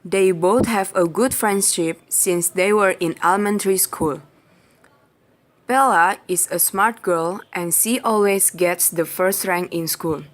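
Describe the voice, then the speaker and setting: Slow, low-voiced woman narrator. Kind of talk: scripted radio drama story narration.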